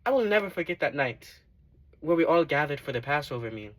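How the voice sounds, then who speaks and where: A man playing a devout former tax collector in a dramatic monologue, giving earnest, measured testimony. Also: a little high, big pitch swings, fairly bright tone. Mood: fervent, awed. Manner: earnest, measured, emphatic storytelling.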